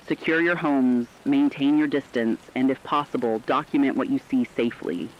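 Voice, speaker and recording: A man's voice: medium pitch, natural voice. A man playing a solemn, formal news anchor giving emphatic warnings in a scripted emergency radio drama. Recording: dull lo-fi radio sound, rainy noise.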